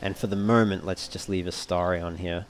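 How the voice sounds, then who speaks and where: A man's voice: low voice, steady tone, a little fast, soft background music. A man giving casual commentary over a video game, sometimes reading item descriptions aloud. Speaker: let's play host.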